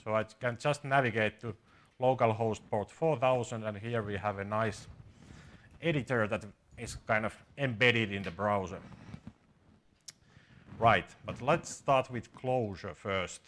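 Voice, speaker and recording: A man's voice: on the low side, a little slow, fairly bright tone. A man in his forties with a Finnish accent, giving an informal, matter-of-fact live coding workshop as a conference speaker. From Finland. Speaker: conference speaker and programming instructor. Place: small conference seminar room with seated audience.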